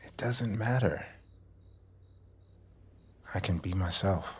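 An actor playing a soft-spoken man who answers hesitantly. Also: deep voice, slow pace, a little hiss or hum.

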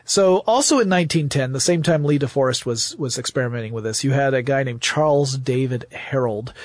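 A man's voice: mid-range voice, dry recording. A man talking as a podcast host, explaining step by step in a casual, enthusiastic storyteller's way.

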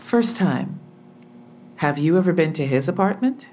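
An actress playing a businesslike police officer who presses with matter-of-fact questions in an audio drama. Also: female voice, sits low, expressive, measured pace, warm tone, a little hiss or hum. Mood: probing, businesslike.

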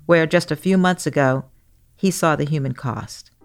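A woman hosts a news podcast in a very low voice with plenty of vocal fry. She moves between scripted narration and interview questions. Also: normal speed. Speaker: guest podcast host.

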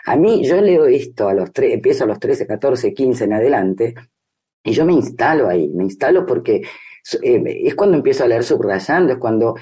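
A woman's voice: very deep voice, sing-song, normal speed, warm tone, slightly rough. A woman in her fifties with a Rioplatense Spanish accent, talking reflectively and expressively as a novelist being interviewed, repeating phrases for emphasis. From grew up in Brazil. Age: 50s. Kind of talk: literary interview, reflective conversation.

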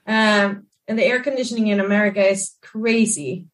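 A woman singer with a Swedish accent and a naturally raspy voice, chatting candidly about looking after her voice. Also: mid-range voice, fairly even intonation, moderate pace, recorded from a distance, low-quality recording. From Sweden. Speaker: singer, guest. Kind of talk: casual conversation.

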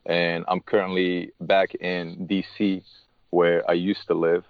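A man, a tennis coach being interviewed, speaking haltingly and often restarting his words. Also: sits low, little pitch movement, no echo, muffled recording. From Morocco. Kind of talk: casual interview talk.

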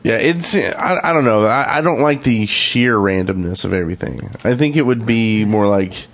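A man with a fairly low voice talks as a podcast co-host. He is conversational and opinionated, speaking off the cuff in a casual gaming discussion. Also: normal speed.

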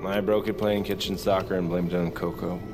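An actor playing a wisecracking, defiant grown son on a rescue, with flippant deadpan sarcasm, in an audio drama. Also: male voice, low-pitched, brisk, music in the background, lightly acted. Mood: woozy, deadpan. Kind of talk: audio fiction acting.

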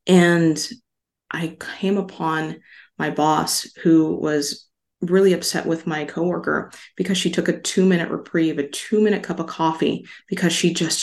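A middle-aged woman from Hawaii, a podcast guest speaking in a calm, soft, unhurried way. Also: on the low side. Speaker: podcast guest, stress relief author. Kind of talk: calm interview talk.

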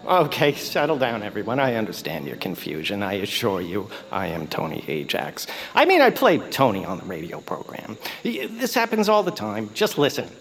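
An actor playing a small, awkward radio celebrity in a comic audio drama, halting, fumbling and apologetic. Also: male voice, fairly low pitch, huge pitch swings, fairly bright tone, exaggerated voice. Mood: flustered. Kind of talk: acted comic audio fiction.

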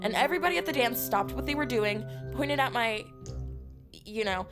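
A woman playing a defensive, sarcastic, vain high school girl in an audio drama. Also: expressive, normal speed, music in the background, lightly acted. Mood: flustered, self-conscious.

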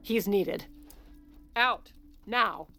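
A protective First Lady in an audio drama. She is composed, clipped and commanding, with a steely edge and a mid-pitched voice. Music runs underneath. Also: very slow, sharp tone, exaggerated voice. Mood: icy, firm. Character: steely, protective First Lady covering for her ailing husband. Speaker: lead character and narrator. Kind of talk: audio drama acting with confiding first-person narration.

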